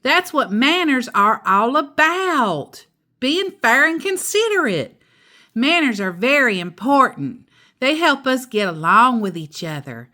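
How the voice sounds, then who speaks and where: A woman voicing a patient, firm mother bear who gives measured lessons on manners in a children's storybook. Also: sits high, very animated, bright, forceful tone, voice pushed up, heavily acted. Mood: patient lecturing. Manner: measured, instructive.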